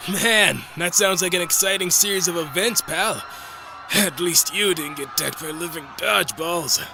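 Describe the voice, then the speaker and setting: A man's voice: sits high, normal speed, vocal fry, music in the background. A man playing a laid-back, cocky teenage boy hero in an audio drama, with a casual, wisecracking manner. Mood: breezy, teasing. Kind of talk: fan audio drama acting.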